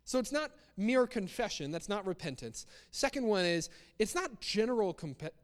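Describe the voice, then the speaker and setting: A man's voice: high voice, very animated, moderate pace. A man preaching a sermon in a conversational but emphatic way, repeating phrases for stress. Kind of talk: sermon with acted-out example quotes. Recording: long hall reverb.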